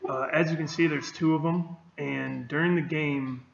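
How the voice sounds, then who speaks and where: Young guy explaining a student project, super gravelly fry, roomy echo. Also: a little high, steady tone, moderate pace. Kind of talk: technical project demo narration. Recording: dull, roomy, faint noise.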